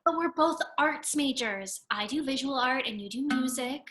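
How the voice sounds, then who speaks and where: A woman's voice: high-pitched, moderate pace, fairly bright tone, a little hiss or hum. A woman playing a lovesick, theatrical young art student in a comedy table read. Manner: theatrical, indignant, overdramatic. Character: melodramatic, lovesick young art student, self-styled damsel in distress.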